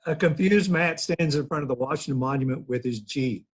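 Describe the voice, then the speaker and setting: A man's voice: fairly high pitch, brisk, husky. A man reading out stage directions at a sitcom table read, in a plain narrating manner.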